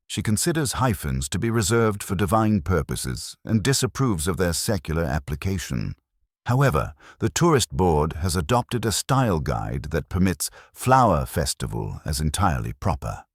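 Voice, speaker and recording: A man's voice: sits low, very animated, moderate pace, slightly rough, no room sound, studio quality, heavily acted. A man voicing a composed, prim woman administrator with a formal, guarded manner in a storybook mystery. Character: composed, prim female tourist-board administrator.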